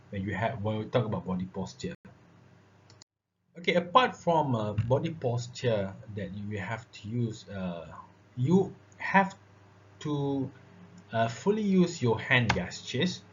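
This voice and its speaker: A man giving a classroom lecture on public speaking, breaking his sentences with "uh", "okay" and "all right". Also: medium pitch. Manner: filler-heavy, repeats "all right" and "okay". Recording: dull lo-fi recording with faint noise.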